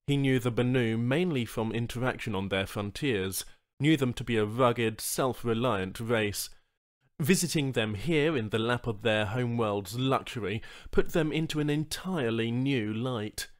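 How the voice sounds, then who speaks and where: A man's voice: mid-range voice, moderate pace. A man narrating a scripted short story as an audiobook reader.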